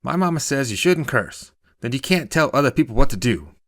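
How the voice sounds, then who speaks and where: A man's voice: mid-range voice, very animated, brisk, fairly bright tone, no echo, clean studio sound, pitched above the performer's own voice. A man voicing a cheerful, polite and chatty little girl for a short story, bubbly and earnest. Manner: bubbly, earnest, quotes mama's sayings.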